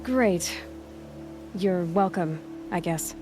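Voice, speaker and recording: An actress playing a guarded, stubborn young hunter in a video game cutscene, speaking in a dry, terse, sarcastic way. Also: female voice, lowish voice, drawn-out words, over music. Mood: guarded, weary. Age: young adult.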